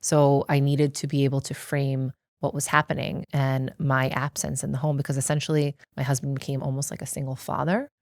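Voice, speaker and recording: Middle-aged American woman, deep voice, dry close sound; earnest interview guest who explains things carefully. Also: level tone, brisk, hardly any pauses, no room sound. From United States. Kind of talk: reflective personal interview.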